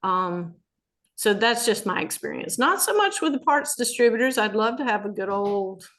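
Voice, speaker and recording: A woman's voice: mid-range voice, lively intonation, moderate pace, a bit bright. A woman speaking hesitantly and reflectively on a video call. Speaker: participant. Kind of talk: casual video call discussion.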